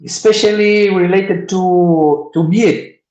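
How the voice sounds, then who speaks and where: Man, slightly high voice, very slow, hesitant, roomy echo. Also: darkish tone. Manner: searching for words, hesitant. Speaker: participant asking questions. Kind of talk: casual chat on a video call. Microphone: video call. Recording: roomy echoing call audio.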